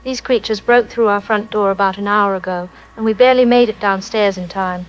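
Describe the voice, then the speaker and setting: A woman's voice: medium pitch, steady tone, brisk, natural voice. An actress plays a frightened mother phoning in for help in a radio play. Her voice is mid-range for a woman, and the connection is dull and limited. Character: frightened mother trapped in basement with children. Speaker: phone-in caller. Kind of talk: dramatic distress call, audio drama. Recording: dull, band-limited phone-in sound.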